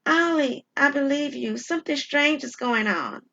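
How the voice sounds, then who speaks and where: A woman's voice: high-pitched, normal speed, vocal fry, highs cut off, noisy background, pitched above the performer's own voice. A woman voicing a concerned, protective husband who asks questions in an audio drama.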